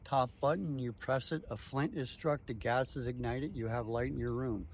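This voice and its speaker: A man's voice: mid-range voice, moderate pace, vocal fry, a crowd in the background. A man speaking as a tour guide, explaining things step by step in short, emphatic declarative phrases.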